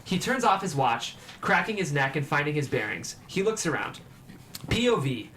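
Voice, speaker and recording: A man's voice: a little high, very animated, moderate pace, a bit bright. A man reading scripted stage directions as the narrator of a table read. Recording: clear background noise.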